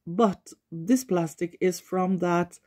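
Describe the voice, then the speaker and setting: A Norwegian woman talking unscripted in her living room, pausing often as she searches for words. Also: lowish voice, darkish tone. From Norway. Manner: thoughtful, searches for words, frequent pauses. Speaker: environmental vlogger. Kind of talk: unscripted talk to camera.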